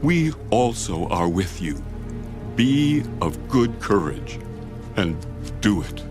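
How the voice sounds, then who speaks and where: A man's voice: lowish voice, sing-song, slow. An actor playing an earnest Israelite spokesman in a dramatised scripture reading, solemnly urging his people. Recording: music behind the voice.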